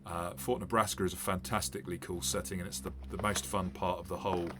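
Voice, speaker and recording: A man with a very low voice gives a rambling, casual unboxing commentary, full of fillers and restarts. He talks as a game master looking over a set. Steady hiss and noise sit under the recording. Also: fast talker.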